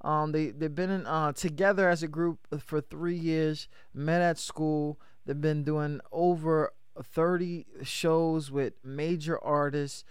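High-voiced hype male radio host. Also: fairly even intonation, slow pace, few pauses, clean tone, no room sound, studio quality. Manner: loose, hype, lots of shout-outs and fillers. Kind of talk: casual hip-hop radio interview.

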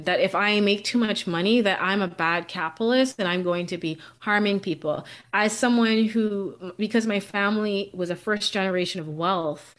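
Candid woman with a light Bahamian accent. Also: mid-range voice. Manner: candid, repeats and restarts, laughs. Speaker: career and business coach, guest. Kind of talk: podcast interview storytelling.

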